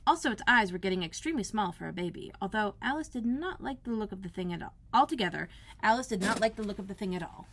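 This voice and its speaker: A woman reading a children's storybook aloud in a careful, expressive narrating style, like a school librarian. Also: mid-range voice, fast talker.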